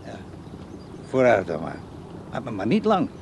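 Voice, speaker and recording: A man's voice: mid-range voice, wildly swooping pitch, unhurried, a little mellow. An actor playing a restless, evasive older man in a radio play, dreamy and musing. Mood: evasive, casual. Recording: clear outdoor background noise.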